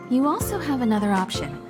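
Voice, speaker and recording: A poised female guide from a video game, speaking in a fairly high, expressive voice. She is measured and considerate, with a lightly wry touch, over clearly audible music. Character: composed, patient, gently teasing female guide and traveller. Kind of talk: English video game dub acting.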